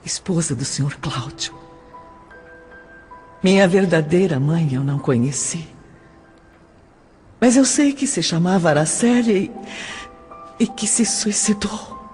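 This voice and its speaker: A woman's voice: fairly low pitch, darkish tone, natural voice. A woman with a Brazilian accent playing a sad young adopted woman in an audio drama monologue. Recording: long hall reverb.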